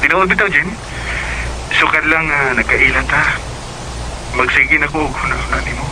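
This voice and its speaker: A man playing an earnest, gentle young suitor in Cebuano radio drama, with a Cebuano accent. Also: a little high, moderate pace, noisy background, close to an everyday voice. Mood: shy, earnest.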